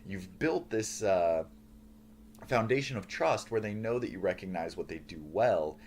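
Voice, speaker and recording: A male professor with a loud, booming voice, giving an earnest lecture on feedback with lots of "uh" and "um" fillers. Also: a little slow, a little hiss or hum. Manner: earnest, lively, frequent "uh" and "um" fillers.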